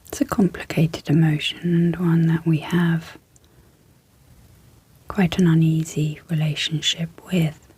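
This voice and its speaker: A woman speaking in a breathy, whispery hush, gentle and measured with long pauses, guiding an ASMR sleep hypnosis as host. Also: low voice, level tone. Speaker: ASMR host and clinical psychologist.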